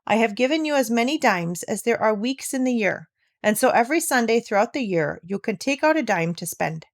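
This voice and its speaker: A woman voicing a kindly, generous old grandmother in a storybook, speaking warmly and firmly to a child. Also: on the high side, lively intonation, a little fast. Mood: generous, amused. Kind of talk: storybook read-aloud.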